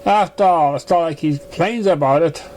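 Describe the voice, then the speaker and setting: Man, story narration, relaxed dark timbre, stumbling reading, light noise behind. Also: a little high, normal speed. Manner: stumbling, misreading words. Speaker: fan fiction reader. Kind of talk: story narration read aloud.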